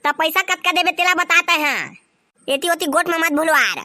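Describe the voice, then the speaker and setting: A woman's voice: very high voice, normal speed, pushed and bright, dry recording, clean studio sound. A woman voicing a blunt, cheeky village youngster in a cartoon, with a Chhattisgarhi accent. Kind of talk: cartoon dialogue.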